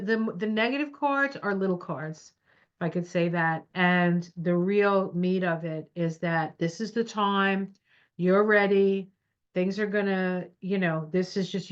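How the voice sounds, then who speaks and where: A woman with a stuffy nose giving a casual, encouraging tarot reading at her home computer. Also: mid-range voice. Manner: encouraging, reassuring. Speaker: tarot reader. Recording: dull lo-fi sound.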